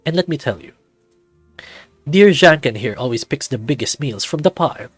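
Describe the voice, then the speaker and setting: A man's voice: a little high, lively intonation, normal speed, slightly rough. A man playing a jovial male professor with a teasing, lecturing manner in visual novel dialogue. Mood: amused, teasing. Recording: background music under the voice.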